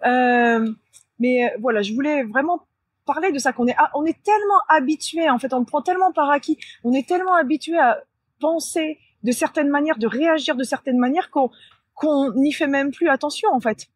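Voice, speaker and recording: A woman with a slightly husky voice talks casually to viewers, explaining things in a halting way with self-corrections. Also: high voice. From France. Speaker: channel host and spiritual coach. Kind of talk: casual vlog talk to viewers. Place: outdoors beside a large tree near a road.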